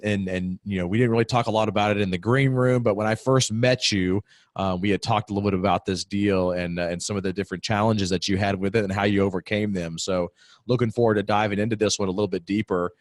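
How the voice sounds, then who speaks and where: A man in his thirties hosting an interview podcast from a home office on a desk mic, upbeat and quick. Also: low-pitched, no echo, clean studio sound. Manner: upbeat, quick, scripted-sounding intros. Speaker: podcast host. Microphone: desk podcast mic on video call.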